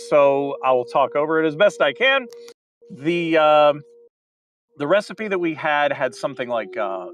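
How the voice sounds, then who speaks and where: A man talking as a guest in a casual comedy debate, earnest and halting, often restarting his sentences. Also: mid-range voice, fairly bright tone, low-quality recording. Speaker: guest director.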